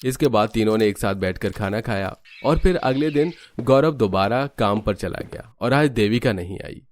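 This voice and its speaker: A man's voice: on the low side, expressive, moderate pace. A man narrating a scripted cartoon family story as a storyteller.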